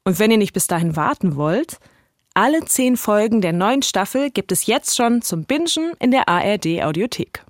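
A woman's voice: medium pitch, big pitch swings, normal speed, no room sound, studio quality. A woman with a standard German accent delivering scripted narration as a podcast host.

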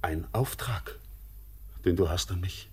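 A young actor playing an orphaned sailor, torn between pleading and dread, in a classic radio play. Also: male voice, deep voice, slow pace, darkish tone, breathy edge, full character voice. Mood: anxious.

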